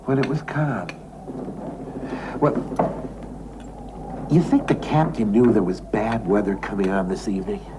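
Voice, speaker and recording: A man's voice: on the low side, unhurried, long pauses, lightly acted. An actor playing an anxious, pushy male ship passenger in conversational television drama, hesitant yet insistent. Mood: anxious, probing. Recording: noisy old TV soundtrack.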